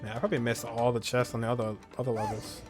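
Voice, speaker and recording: Casual male gamer over loud-ish game music. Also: mid-range voice, level tone, fast. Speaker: gaming streamer. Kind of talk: casual gameplay commentary, short reactions. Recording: game music clearly behind the voice.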